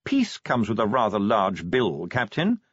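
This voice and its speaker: A cold, dry-witted ruler of a city who drawls each word slowly and flatly, as a man puts him on. The voice is a little husky, and the recording is dry and slightly dull. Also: fairly low pitch, dry recording, heavily acted. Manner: slow, flat, ironic.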